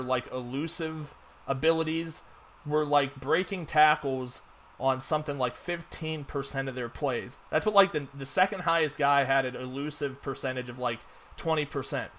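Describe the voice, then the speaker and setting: A man's voice: a little high, fairly even intonation, normal speed, a bit bright, a little hiss or hum. A man hosting a casual fantasy football podcast, rambling with lots of fillers and restarts. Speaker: podcast host. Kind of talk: casual sports podcast chat.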